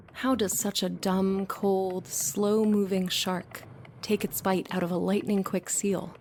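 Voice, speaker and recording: A woman's voice: medium pitch, a little mellow, slight background noise, natural voice. Brooding, sardonic woman, played by an actress. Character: brooding, sardonic woman aboard a tall ship, musing philosophically. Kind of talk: theatrical audio play acting.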